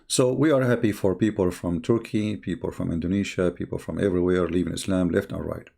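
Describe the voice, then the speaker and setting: Male live-stream host: deep, gravelly, voice worn out, slow and halting delivery. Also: steady tone, dark tone. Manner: halting, reads viewer messages aloud slowly. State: tired, strained voice. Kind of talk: casual live-stream talk.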